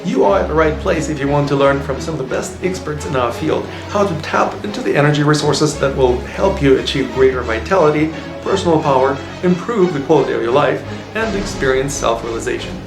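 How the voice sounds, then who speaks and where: Gravelly male host over music. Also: on the high side, animated, brisk, hardly any pauses. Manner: earnest, promotional. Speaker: show host. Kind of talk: scripted show intro. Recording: roomy with music behind.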